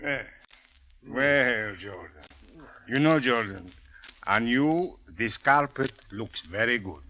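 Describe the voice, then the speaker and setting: An actor playing a suave, skeptical Cairo police captain in a 1940s radio drama, formal, measured and dryly sardonic. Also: male voice, mid-range voice, lively intonation, sharp tone, soft background music. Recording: noisy old radio recording with clicks.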